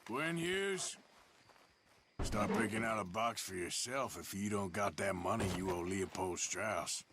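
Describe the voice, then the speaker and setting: A man's voice: lowish voice, expressive, unhurried. A man playing a tough, wry American outlaw in a video game, with a dry, sardonic, plain-spoken manner. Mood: weary, menacing. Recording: loud outdoor background noise.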